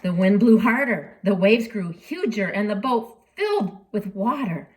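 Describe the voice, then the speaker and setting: A woman reads a storybook aloud for children. Her pitch is lively and varied around a middle register, and she tells it dramatically, pausing deliberately and leaning on key words. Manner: dramatic, with deliberate pauses and emphasis. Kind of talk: expressive storybook reading for children.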